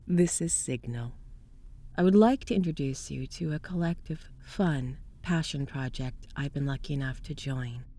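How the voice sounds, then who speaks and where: A woman's voice: extremely low pitch, moderate pace, dark tone. A woman host and voice actor reading a scripted introduction and credits for an audio drama.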